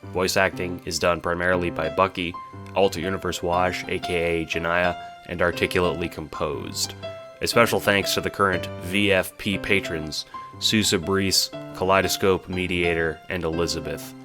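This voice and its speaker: A man giving dramatic, wry scripted narration for an audio drama, theatrical and expressive. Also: sits low, moderate pace, over music.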